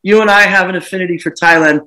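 American guy on a laptop call, bright higher-ish voice, keeps restarting sentences, dim living room. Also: fast, rough recording. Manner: rambling, restarts a lot, animated. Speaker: home seller. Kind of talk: casual video call chat. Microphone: laptop webcam on video call.

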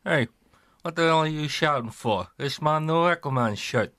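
A man's voice: a little high, normal speed, bright, forceful tone, no room sound, studio quality. A man playing a put-upon, wistful butcher's assistant who whines and protests in a comic radio drama. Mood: protesting.

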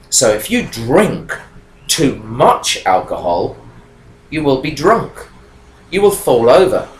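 A man in his fifties teaching English to learners, explaining grammar in a small room with a webcam, talking emphatically. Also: medium pitch. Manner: animated, emphatic, gestures while talking. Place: small room indoors with whiteboard. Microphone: webcam at desk. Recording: echoey room, faint background noise.

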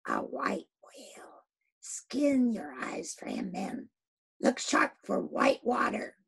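A woman voicing an obsessed old one-legged sea captain who swings between hoarse whispers and loud shouts. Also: mid-range voice, wildly swooping pitch, slow, heavily acted. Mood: fierce. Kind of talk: audiobook reading.

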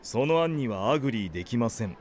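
A man acting a sensible high school team captain in an anime radio drama, dryly retorting and scolding. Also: lively intonation, a little fast, a little mellow, a little hiss or hum, lightly acted. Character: sensible, dry teenage male team captain.

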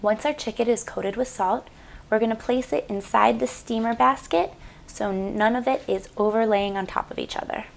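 A woman's voice: fairly high pitch, fairly even intonation, moderate pace, gravelly. A woman in her twenties hosting a home cooking demonstration in a small kitchen, chatty and upbeat with playful asides, on a lapel mic. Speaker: cooking show host.

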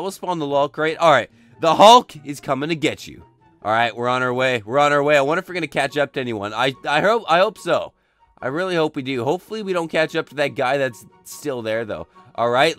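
A young man who is a bit under the weather, giving excitable, chatty, casual game commentary and repeating short exclamations. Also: on the high side, no echo. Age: young adult. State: sick, says he is losing his voice. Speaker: gaming video host. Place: indoor desk setup.

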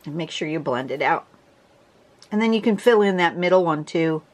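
A woman with a congested voice teaching a drawing pattern in a casual, chatty way, often restarting and clearing her throat. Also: low voice, expressive, moderate pace. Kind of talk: casual tutorial narration. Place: home desk, camera over the drawing surface.

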